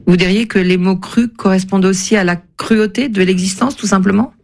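A woman radio host who asks her author guest measured, articulate questions. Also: medium pitch, vocal fry, darkish tone.

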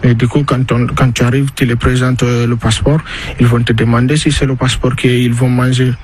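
A man's voice: medium pitch, level tone, brisk, darkish tone. A young man giving spontaneous testimony, matter-of-fact and circling back over his words, in a field recording. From Guinea. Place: migrant camp, under tarpaulins. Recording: field recording with background noise.

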